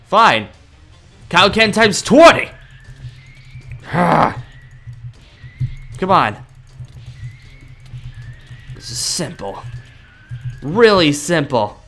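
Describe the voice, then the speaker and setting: A young man improvising in-character gameplay roleplay in a cocky, dramatic, excitable manner. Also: fairly high pitch, extremely slow, long pauses, faint music behind. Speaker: gaming roleplay video creator.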